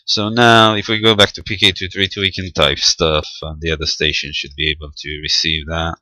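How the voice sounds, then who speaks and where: A man's voice: low-pitched, little pitch movement, brisk, bright, forceful tone, no echo, rough recording. A man giving an unscripted step-by-step technical demonstration, hesitant and thinking aloud as he goes. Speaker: amateur radio tutorial presenter.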